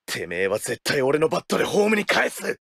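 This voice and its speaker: An actor playing a fierce, hot-blooded young baseball player with forceful delivery in an anime-style game. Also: male voice, fairly high pitch, huge pitch swings, a little fast, gravelly, full character voice. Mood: aggressive. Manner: forceful, shouting. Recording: loud game music and crowd behind.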